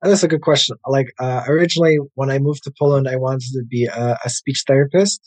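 An American man in his thirties, a language coach, answering interview questions in a relaxed, chatty way full of "like" and "uh". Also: medium pitch, brisk, no echo. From United States. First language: English. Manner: relaxed and chatty, many "like" and "uh" fillers. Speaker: guest, English language coach. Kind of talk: casual interview conversation.

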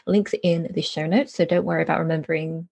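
A woman hosts a podcast as a casual, conversational interview. Her voice sits a little low and has a husky edge. She is chatty and informal, with a giggly manner. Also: fairly even intonation, fast, darkish tone. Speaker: podcast host.

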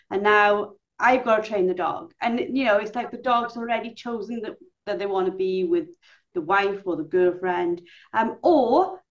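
Woman host, lively storyteller, muffled sound. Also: a little high. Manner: animated storyteller, acts out quotes. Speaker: podcast host. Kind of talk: casual chat. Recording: dull lo-fi call audio.

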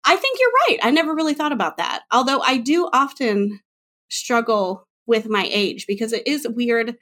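A woman in her late thirties hosting a casual interview, chatty and giggly, with quick self-mocking asides. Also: on the high side, expressive, bright, forceful tone. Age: 30s. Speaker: podcast host.

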